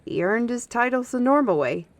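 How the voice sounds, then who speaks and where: A woman playing a young, guarded, gently teasing fantasy woman in a roleplay audio drama, talking directly to the listener in a conversational way. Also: a little high, animated, moderate pace, no echo. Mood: fond, explaining. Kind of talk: scripted roleplay audio acting, ASMR.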